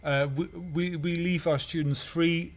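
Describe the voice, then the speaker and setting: A man from the Netherlands, a head of school, answering questions on a livestream in a halting way with many restarts. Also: a little high, fairly even intonation.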